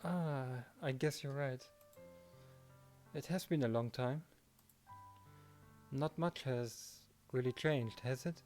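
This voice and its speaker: A man's voice: mid-range voice, takes it slowly, long pauses, a little mellow. A man reading first-person visual novel narration and the protagonist's lines in a dramatized way, with music behind him.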